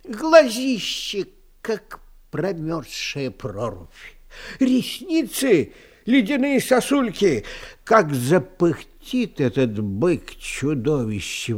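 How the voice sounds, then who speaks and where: An actor playing a kindly old grandfather who tells folk tales in a folksy, drawn-out, dramatic way for a fairy-tale radio play. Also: a little high, full character voice. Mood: awed, dramatic. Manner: folksy, drawn-out, dramatic storytelling with sighs and exclamations.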